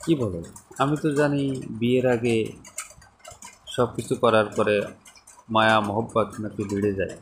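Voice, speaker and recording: A man's voice: lowish voice, moderate pace, pauses often. A man with a Bangladeshi accent in an intimate, casual phone chat, speaking in a coaxing manner. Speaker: caller. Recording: faint noise, clicks, phone call.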